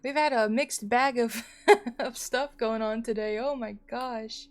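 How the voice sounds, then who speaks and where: A woman live streamer chatting casually to viewers in an expressive, rambling, playful way, sounding a little sleepy. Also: a little high, measured pace. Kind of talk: casual chatty livestream sign-off. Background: music.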